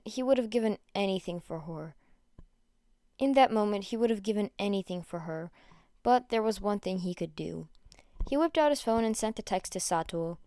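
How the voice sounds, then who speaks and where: A woman narrating a fan fiction story aloud with expressive delivery. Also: medium pitch, smooth, no echo, studio quality.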